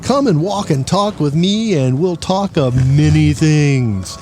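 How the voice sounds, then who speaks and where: High-voiced cocky reporter. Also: male voice, expressive, slow pace, warm tone, faint music behind. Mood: wheedling, teasing. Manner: flippant, chuckling, theatrical. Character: wisecracking, cocky pulp newspaper and radio reporter. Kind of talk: noir-style audio drama acting.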